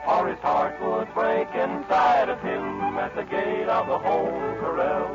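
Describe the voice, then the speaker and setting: A man's voice: high-pitched, huge pitch swings, takes it slowly, lo-fi sound. A man singing cowboy songs in a western group on a vintage 1940s radio recording, with music. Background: loud western music.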